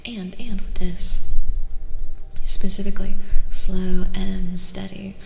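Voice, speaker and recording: A woman giving a soft-spoken ASMR tarot reading as a stream host, breathy and often whispery, gently repeating phrases in a soothing way. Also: medium pitch, level tone, slow pace, faint music behind. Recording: long echoing reverb.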